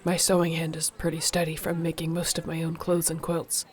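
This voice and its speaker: A woman playing a protective, tender orc warrior girlfriend in an intimate audio roleplay, speaking in a soft, earnest way. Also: low-pitched, monotone, a little fast, lightly acted. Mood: gentle, reassuring. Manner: soft, earnest, soothing, partly whispered.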